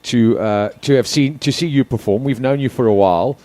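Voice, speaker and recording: A man's voice: medium pitch, a little fast, warm tone, dry recording. A man co-hosting a live radio show, chatty and jokey. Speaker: radio co-host.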